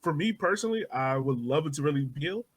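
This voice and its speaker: A man's voice: fairly high pitch, animated, fast talker, over music. Man hosting a casual sports podcast. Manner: conversational, puts questions to co-hosts. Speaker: podcast host.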